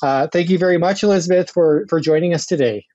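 Mellow, halting male host, dull sound. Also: a little high, no echo. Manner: halting, repeats words. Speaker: podcast host. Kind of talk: casual interview talk.

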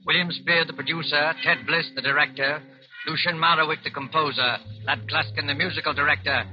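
A man's voice: on the high side, fairly even intonation, close to an everyday voice. Male theatrical vintage radio suspense narrator. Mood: formal, ominous. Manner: formal, theatrical, measured. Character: mysterious male host of a suspense series. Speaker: narrator, announcer. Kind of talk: 1940s radio announcing. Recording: muffled old radio broadcast, loud music behind.

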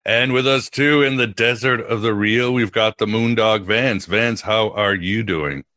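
Deep, bright-voiced man hosting a podcast. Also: measured pace, no echo, clean studio sound. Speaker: podcast host. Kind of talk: casual interview hosting.

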